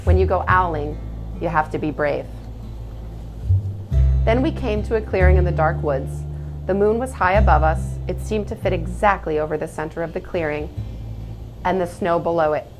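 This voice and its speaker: A woman hosting a children's read-aloud, speaking in a measured, expressive way. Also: lowish voice, over music, low-quality recording. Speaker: host reading a children's book aloud and answering questions. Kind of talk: read-aloud storytelling and informal explanatory talk.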